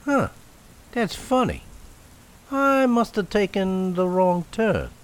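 An actor playing a smooth, menacing stranger with a folksy, falsely friendly manner in an old radio thriller. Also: male voice, sits high, very animated, slow, full character voice. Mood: casual, evasive. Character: smooth, menacing male stranger driving a lone woman. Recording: noisy old radio drama recording.

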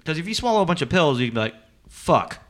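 A man talks casually on a podcast with a bright, punchy tone. His pitch moves around a lot, and he is candid, swears freely and makes dark jokes. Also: very animated, quick pace. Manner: candid, profane, darkly joking.

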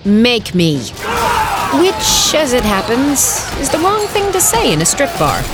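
An actress playing a brash, wry, defiant young heroine in an audio drama, narrating and speaking in character. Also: female voice, on the high side. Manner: wry, dramatic first-person telling. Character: brash half-fae heroine, defiant, wry, gun in hand. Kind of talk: full-cast audio drama narration and dialogue. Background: music.

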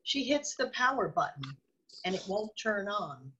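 A woman's voice: mid-range voice, sing-song, moderate pace, sharp tone, a little hiss or hum, rough recording. A woman reading scripted narration for a radio drama, describing scenes as the narrator.

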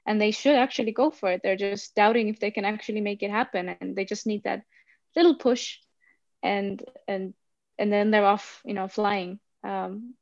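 A woman's voice: mid-range voice, steady tone, normal speed, darkish tone, dry recording. Thoughtful woman coach, dull dry audio. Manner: thoughtful, repeats words as she searches. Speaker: coach for expats. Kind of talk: podcast interview answers.

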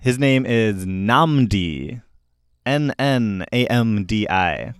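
A man's voice: lowish voice, animated, extremely slow, clean tone, no room sound. Male host for casual album-review talk. Informal, opinionated, keen; clean resonant voice, dry recording. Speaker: host of a music review show.

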